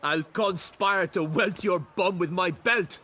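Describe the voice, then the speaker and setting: An actor playing a hot-tempered townsman bully in a video game, menacing and forceful. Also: sits high, slow pace, soft background music, heavily acted. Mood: threatening. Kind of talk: video game cutscene acting.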